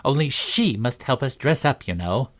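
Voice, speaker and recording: A man's voice: medium pitch, lively intonation, moderate pace, voice pushed up, natural voice. A man voicing a sulky, childish twin from a storybook, with a grave and pompous manner.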